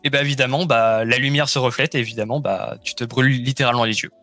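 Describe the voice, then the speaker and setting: A man's voice: medium pitch, fairly even intonation, quick pace, bright, forceful tone, no room sound, faint music behind. A man taking part as a guest in a casual chat, hesitant and hunting for words as he describes things. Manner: hesitant, lots of "euh" and restarts.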